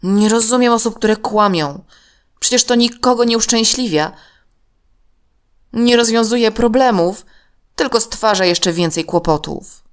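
A woman's voice: on the high side, unhurried, long pauses, voice pushed up, lightly acted. A woman voicing a trusting, principled young boy in a children's story, sincere and emphatic. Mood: disapproving.

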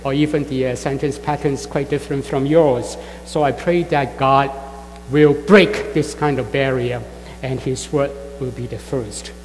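A middle-aged man with a Chinese accent, a guest pastor whose first language is Fujian, introducing himself to a congregation in a halting way with many fillers. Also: mid-range voice, fairly even intonation, a little hiss or hum. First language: Fujian (Min Chinese). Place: church sanctuary. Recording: long church reverb, faint music.

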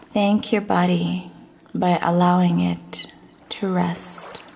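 A woman's voice: on the low side, little pitch movement, darkish tone. A woman leading a guided meditation with affirmations, speaking in a soothing way that at times drops toward a whisper. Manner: slow, soothing, breathy near-whisper at times.

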